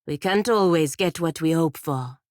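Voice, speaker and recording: Stern, dutiful female knight for a fantasy game, played by an actress: deep register, measured formal delivery, dry studio sound. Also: dry recording, close to an everyday voice. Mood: resigned. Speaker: video game character voice actor. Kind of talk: scripted video game dialogue.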